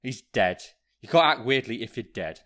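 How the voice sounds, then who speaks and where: Hard-nosed male survivor, played by an actor: mid-pitched, mocking, callous, trusts nobody, audio drama. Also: lively intonation, moderate pace, fairly bright tone, natural voice. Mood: hard, dismissive. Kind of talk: naturalistic audio drama acting.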